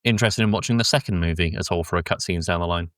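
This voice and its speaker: Low-voiced man chatting about films, dry sound. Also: racing, a bit bright, dry recording. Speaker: podcast host. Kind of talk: casual film discussion.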